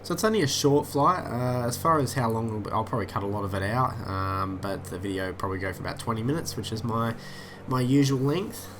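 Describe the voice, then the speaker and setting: A man's voice: medium pitch, brisk, few pauses, a bit bright, a little hiss or hum. A man with a head cold that makes him sound nasal, casually commentating his flight simulator session and often losing his thread. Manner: rambling, many "uh" fillers, easily sidetracked. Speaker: flight sim YouTuber.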